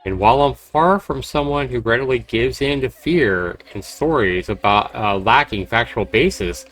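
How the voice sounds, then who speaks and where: A man livestreaming a horror game, reading dramatically and commenting casually, with loud game sound behind his voice. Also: medium pitch, moderate pace. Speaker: game streamer. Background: horror game audio.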